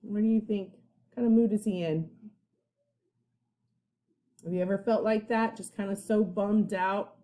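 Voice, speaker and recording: A woman teacher reading a children's story aloud expressively, pausing to put questions to young listeners. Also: medium pitch, fairly even intonation, warm tone. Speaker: first grade teacher.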